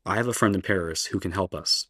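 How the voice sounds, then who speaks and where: A woman voicing an older male professor in a radio play, speaking in a measured, steady, reassuring way. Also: extremely low pitch, husky, dry recording, clean studio sound, pitched below the performer's own voice, full character voice. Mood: firm. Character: older male professor, calm protective ally.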